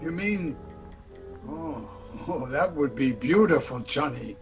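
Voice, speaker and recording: An actor playing a timid, weary crooked doctor in a radio play, hesitant and pleading as a villain's sidekick. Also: male voice, on the high side, expressive, slow pace, over music. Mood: relieved, dreamy. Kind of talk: old-time radio play acting.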